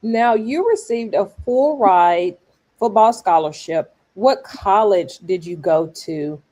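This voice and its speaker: Slow, mellow-voiced woman host. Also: medium pitch, animated. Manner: gracious, deliberate questioning. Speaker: podcast host. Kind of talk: casual interview.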